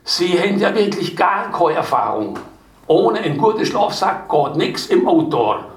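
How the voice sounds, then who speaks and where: A man's voice: sits high, sing-song, measured pace, voice pushed up, full character voice. Man doing a Swabian shop saleswoman, Allgäu accent, insistent, lecturing, echoey hall, distant mic.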